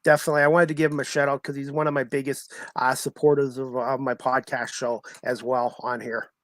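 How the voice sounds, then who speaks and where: A man's voice: medium pitch, little pitch movement, fairly quick, a bit bright, no room sound. A man hosting a sports talk show, chatting casually and informally with a guest and often repeating himself. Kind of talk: casual interview chat.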